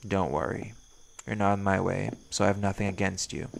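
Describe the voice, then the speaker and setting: A man's voice: low-pitched, steady tone, brisk, gravelly, noisy background. A man voicing a brash, menacing werewolf in an audio roleplay, speaking in a blunt, curt, sardonic way. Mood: cold, threatening. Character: brash, menacing male werewolf hunting his quarry. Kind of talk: scripted audio roleplay acting.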